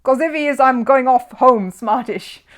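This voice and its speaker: A woman's voice: high-pitched, unhurried, pitched above the performer's own voice. A woman voicing a blunt, alarmed male hospital patient in a children's story, exclaiming in colloquial speech.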